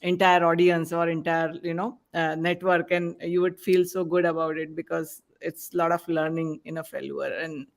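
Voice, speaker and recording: A man's voice: a little high, moderate pace, creaky, hardly any pauses. A man on a podcast panel from the organizing group, speaking with frequent fillers. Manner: flat delivery, frequent fillers. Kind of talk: casual podcast panel talk.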